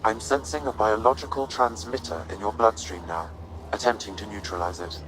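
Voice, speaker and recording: An actor voicing a precise, unemotional artificial intelligence in a video game, speaking in a measured, formal way. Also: male voice, fairly low pitch, steady tone, fairly bright tone, a little hiss or hum, lightly acted, poor audio. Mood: calm, clinical. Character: calm, precise ship artificial intelligence advising its human partner.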